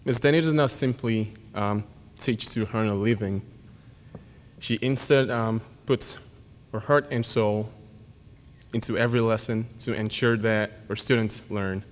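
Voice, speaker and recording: A young man, a graduating senior whose first language is Yoruba, reading a formal, earnest speech at a podium with halting pauses and fillers. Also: lowish voice. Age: young adult. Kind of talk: prepared speech read at a podium. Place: school auditorium. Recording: hall reverb.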